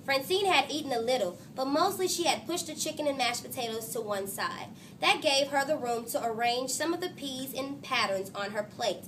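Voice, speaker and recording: A woman teacher reading a storybook to her class and pausing to ask coaxing, instructive questions. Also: high-pitched, a bit bright, a little hiss or hum. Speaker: third-grade teacher. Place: classroom with children answering.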